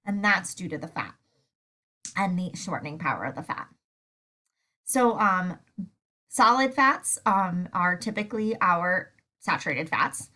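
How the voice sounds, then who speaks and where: Woman, congested, sore throat, bright voice, lecturing over slides, lots of fillers. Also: on the low side. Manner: relaxed, with many fillers. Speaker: food science teacher.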